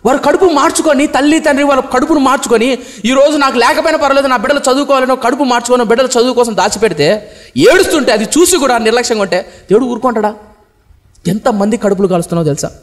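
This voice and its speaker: A male pastor preaching a fiery sermon, throwing out rhetorical questions and swinging between loud outbursts and low, heavy lines. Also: in a large hall.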